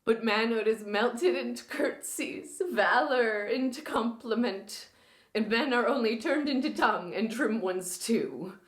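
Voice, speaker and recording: A woman performs a young noblewoman of the classical stage, wounded and enraged. Her voice is raised high and impassioned, stretching words in anguish. It rings in an echoey room. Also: close to an everyday voice. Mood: bitter scorn. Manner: impassioned, anguished theatrical delivery with drawn-out words. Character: furious, grief-stricken young noblewoman in a Shakespeare play.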